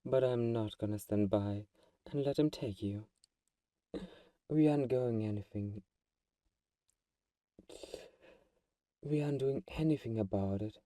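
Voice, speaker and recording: A man playing a teasing, possessive vampire who is friends with a hunter, in an intimate ASMR audio roleplay with sighs and soft chuckles. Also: medium pitch, moderate pace, no room sound, recorded from a distance, close to an everyday voice. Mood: protective, possessive.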